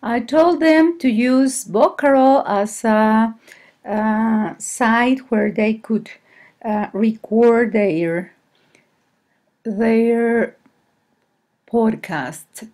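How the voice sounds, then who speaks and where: Slow-talking Mexican woman teacher. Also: a little high, darkish tone. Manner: hesitant, halting, corrects herself often. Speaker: English teacher. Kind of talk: screen-recorded presentation to colleagues.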